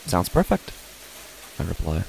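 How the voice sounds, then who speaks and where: A man playing an uneasy father who narrates his own horror story in a deliberate, measured way with dramatic pauses. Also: sits low, wildly swooping pitch, gravelly, darkish tone, slight background noise, heavily acted, poor audio. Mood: grave, uneasy. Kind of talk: scripted first-person horror narration.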